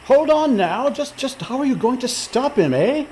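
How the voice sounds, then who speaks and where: A man's voice: extremely high pitch, big pitch swings, moderate pace. An actor playing a scheming, level-headed young seafaring con man in a radio play, sly and coaxing. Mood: cautioning, scheming. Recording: faint background noise.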